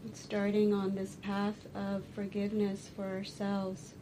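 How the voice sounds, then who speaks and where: A woman teaching a guided meditation, speaking deliberately in short phrases with pauses between them. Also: mid-range voice, monotone, noisy background. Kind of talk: guided meditation and dharma talk.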